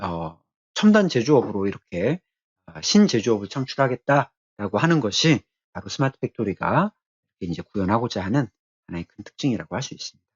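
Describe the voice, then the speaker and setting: A man giving an explanatory technical training lecture in a halting, deliberate way, pausing often between phrases. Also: fairly low pitch, sing-song, slightly rough, lo-fi sound.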